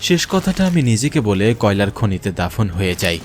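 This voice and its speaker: A man's voice: lowish voice, very animated, normal speed, a little hiss or hum. A man narrating a scripted first-person horror story in a grave, dramatic way.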